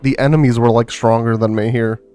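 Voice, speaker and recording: Guy streaming a video game, mid pitch, slangy off the cuff commentary, game music going behind. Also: steady tone, a little fast, mellow. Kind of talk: casual live gameplay commentary. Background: video game music and sound.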